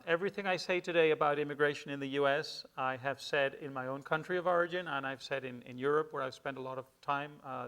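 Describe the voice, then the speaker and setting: Peruvian guy, spanish native, giving a talk, smooth clear voice, kinda halting. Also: medium pitch, pushed and bright. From Peru. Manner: measured, hesitant, with many fillers and restarts. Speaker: guest lecturer. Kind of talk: public lecture. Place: lecture hall with an audience.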